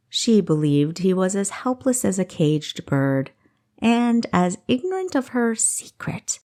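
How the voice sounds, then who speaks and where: A woman reading a fantasy story as scripted narration, with an expressive, measured delivery. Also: lowish voice. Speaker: audiobook narrator.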